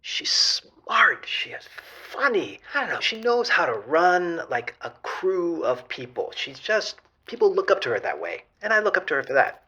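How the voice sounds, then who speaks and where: A man's voice: sits high, normal speed, few pauses, slightly rough, exaggerated voice. An actor playing an anxious, lovestruck male gamer who calls a radio show and grows panicky. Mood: earnest. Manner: excitable, panicky. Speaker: caller. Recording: muffled phone-line caller sound.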